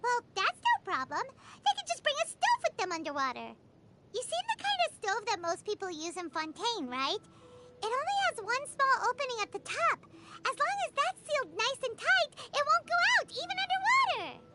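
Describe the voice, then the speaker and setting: A woman voicing a small, childlike fairy companion in a video game, excitable and naive, with a squeaky voice. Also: moderate pace, heavy background noise. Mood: eager, excited. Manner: excitable, exclamatory, refers to herself by name. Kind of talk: video game character dialogue.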